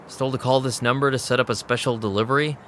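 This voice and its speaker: A man's voice: mid-range voice, fairly even intonation, fast talker, a bit bright, a little hiss or hum, natural voice. A man acting in an audio drama as a wisecracking, streetwise vampire DJ who narrates with casual sarcasm. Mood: guarded, casual. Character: wisecracking young male vampire DJ, wry and streetwise. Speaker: narrator and lead character.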